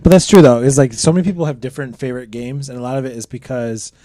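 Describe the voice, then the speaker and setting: A man hosting a show, talking casually and relaxed. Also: mid-range voice, racing, no room sound. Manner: relaxed, easygoing, with fillers. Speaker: show host. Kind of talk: casual podcast talk.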